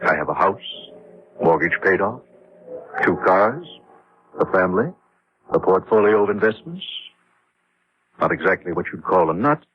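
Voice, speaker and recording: A man's voice: deep voice, animated, moderate pace, long pauses, breathy edge. An actor playing a practical, guarded middle-aged male engineer, telling his story matter-of-factly in an old radio drama. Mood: guarded, reminiscing. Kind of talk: 1960s radio drama narration. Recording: dull old radio recording, music behind.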